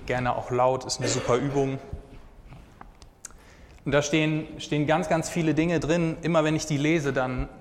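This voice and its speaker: A man in his twenties preaching a sermon and sharing his own testimony in an earnest, casual way. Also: mid-range voice. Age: 20s. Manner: earnest, casual, often says "hey". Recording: hall reverb, faint background noise.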